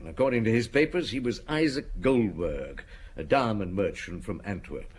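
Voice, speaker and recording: An actor playing a seasoned French police chief inspector in a radio drama, patient and dogged, speaking in a measured, probing, official way with thoughtful hums. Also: male voice, lowish voice, slight background noise, lightly acted. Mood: probing, methodical. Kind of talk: radio drama acting, reminiscing and interrogating.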